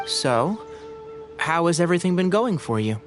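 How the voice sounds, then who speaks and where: A man's voice: on the high side, big pitch swings, moderate pace, over loud music. A man voicing a kindly, considerate young forest ranger in a video game, speaking courteously and articulately.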